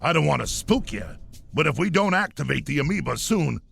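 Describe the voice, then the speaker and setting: An actor playing a blustering, bossy old army colonel with a gravelly voice. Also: male voice, fairly high pitch, big pitch swings, fairly quick, fairly bright tone, soft background music, full character voice. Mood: gruff. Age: older. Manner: gruff, boastful and commanding.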